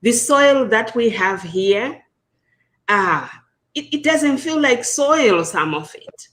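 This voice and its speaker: A woman's voice: on the high side, expressive, slow pace, a bit bright. A woman from Zimbabwe, speaking earnestly as a guest on a video call. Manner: earnest, frustrated. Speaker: guest panelist.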